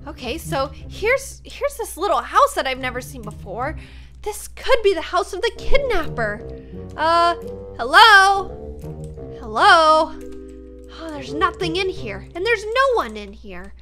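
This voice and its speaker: Casting: girlish woman in her twenties, gaming YouTuber, very high-pitched, dramatic, music underneath. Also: sing-song, a little slow, sharp tone. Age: 20s. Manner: theatrical, exasperated, girlish. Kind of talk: dramatic improvised game roleplay and chatty narration. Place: small indoor room.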